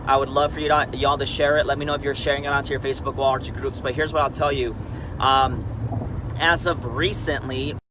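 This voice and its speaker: A man's voice: mid-range voice, little pitch movement, a little fast, noisy background. A man in his thirties, originally from Peru, giving a candid, rambling motivational talk on a live stream about network marketing, often checking in with "right?". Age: 30s. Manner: candid, rambling, frequent restarts and "right?" asides.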